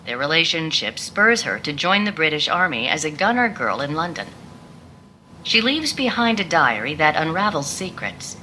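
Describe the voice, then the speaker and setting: Bright, expressive woman narrator. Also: deep voice, moderate pace, poor audio. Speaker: audiobook annotation narrator. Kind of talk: scripted narration. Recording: played clip with faint background noise.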